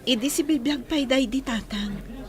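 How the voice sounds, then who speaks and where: A woman's voice: high-pitched, normal speed, a faint crowd, natural voice. A woman playing an earnest young daughter who recounts events in an audio drama. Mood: reflective. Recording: clear background noise and ambience.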